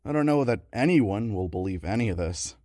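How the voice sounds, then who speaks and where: A man playing a patient, affectionate partner with dry, gentle wit in a naturalistic comedy audio drama. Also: lowish voice, expressive, brisk, no echo, natural voice. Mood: wry affection. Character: patient, affectionate, wry husband or boyfriend.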